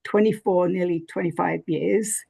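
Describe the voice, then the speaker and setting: Gravelly, husky English woman. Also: fairly low pitch, steady tone, normal speed, mellow. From England. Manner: rambling, many fillers and restarts. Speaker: podcast guest. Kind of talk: casual interview chat.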